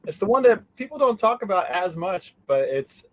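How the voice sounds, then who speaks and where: A man's voice: high-pitched, big pitch swings, normal speed. A man as an interview guest in casual fan chat, joking and cutting into his own rambling sentences. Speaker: interview guest, convention organizer.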